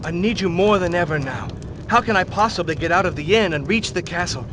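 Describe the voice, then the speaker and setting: An actor voicing a determined man set on reaching a castle in a game cutscene, earnest and resolute, over loud game music. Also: sits high, expressive, brisk, noisy background. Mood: urgent.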